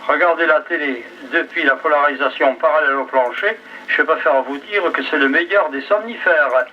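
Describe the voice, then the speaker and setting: A man's voice: on the high side, moderate pace. Man on noisy ham radio. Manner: chatty, joking. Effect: two-way radio transmission. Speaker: amateur radio operator. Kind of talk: casual radio chat with sign-offs. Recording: dull, noisy ham radio relay, distant.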